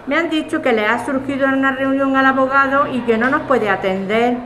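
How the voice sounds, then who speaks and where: An actress as high-voiced Spanish café woman. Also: brisk, a bit bright, a faint crowd. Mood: puzzled. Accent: Spanish, from Spain. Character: suspicious, easily alarmed neighbourhood café woman, chatty, motherly. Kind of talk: naturalistic audio drama dialogue. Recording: clearly noisy background ambience.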